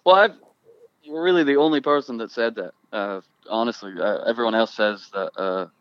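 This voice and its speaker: A young man from Ireland with an accent that drifts between Irish, Scottish and American, talking casually and haltingly with many restarts. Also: medium pitch, no echo, lo-fi sound. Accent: mixed Irish and Scottish with American. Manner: halting, restarts often, thoughtful. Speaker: guest musician. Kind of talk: casual conversation.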